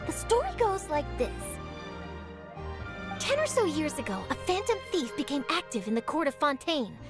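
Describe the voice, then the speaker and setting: A woman playing an eager young journalist chasing a scoop, brisk, upbeat and theatrical. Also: high voice, sing-song. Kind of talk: video game voice acting. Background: game score music.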